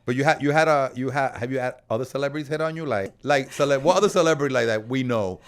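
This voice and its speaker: A man's voice: mid-range voice, quick pace. A man hosting a casual podcast interview, talking informally with slang and some swearing.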